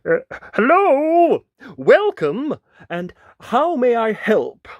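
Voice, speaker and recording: A man voicing a bold, clever storybook wolf with booming, emphatic delivery. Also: wildly swooping pitch, very slow, no room sound, heavily acted. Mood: nervous welcome.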